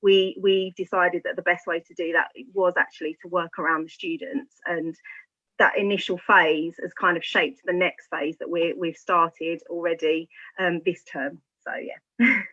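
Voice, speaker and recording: Woman w/ bright lowish voice, school staffer explaining stuff, kinda hesitant, bit dull audio. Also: steady tone, moderate pace. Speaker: secondary school staff member. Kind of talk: informal explanation in an online discussion. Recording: slightly muffled, band-limited audio.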